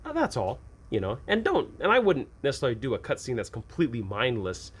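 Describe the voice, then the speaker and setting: A man with a mid-pitched voice speaks as the host of an advice show for game masters. His delivery is casual and unscripted, like chatting to a peer. He tends to pose rhetorical questions and answer them himself. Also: wildly swooping pitch, quick pace, breathy edge.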